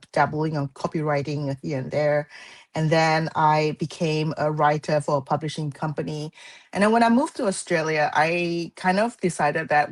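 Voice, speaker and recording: Low-voiced woman artist from Malaysia. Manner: soft-spoken, thoughtful, frequent "um" pauses. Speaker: guest artist. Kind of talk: casual interview.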